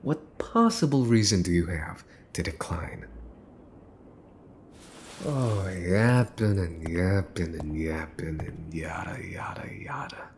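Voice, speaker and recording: A man playing a possessive, teasing young mafia boss's son in an ASMR roleplay, smug and taunting, slipping into breathy whispers. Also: sits low, slow pace, a little mellow, a little hiss or hum. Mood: possessive, playful, then mocking, coaxing. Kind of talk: ASMR boyfriend roleplay acting.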